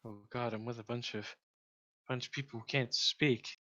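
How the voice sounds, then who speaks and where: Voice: mid-range voice, steady tone, normal speed, pushed and bright, muffled recording. A person chatting casually during an online game, wry and given to swearing, heard over voice chat.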